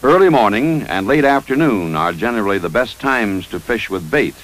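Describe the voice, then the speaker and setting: Male narrator, American, 1950s military training film style: instructive, authoritative, measured, with animated intonation. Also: lowish voice, a little hiss or hum, low-quality recording. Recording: 1955 training film soundtrack.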